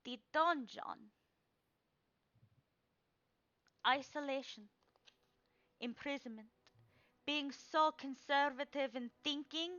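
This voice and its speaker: A woman voicing an ominous old fortune-teller in a tabletop game, speaking in dramatic, halting pronouncements. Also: high voice, fairly bright tone, dry recording, highs cut off, pitched above the performer's own voice. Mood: grave, foreboding. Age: older. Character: cryptic old woman fortune-telling seer, ominous and theatrical.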